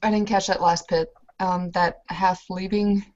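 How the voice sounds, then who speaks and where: A woman's voice: lowish voice, level tone, a little slow, darkish tone, dull audio. A woman narrating a roleplaying scene casually, with a hesitant, informal way of talking.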